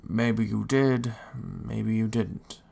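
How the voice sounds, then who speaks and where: A gravelly, fairly low-voiced Pokémon leader, played by a man, speaks with fair-minded authority in an audio drama. He is reassuring and firm, with creaky edges to the voice. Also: extremely slow, warm tone, full character voice. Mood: calm, firm. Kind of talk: fan audio drama acting.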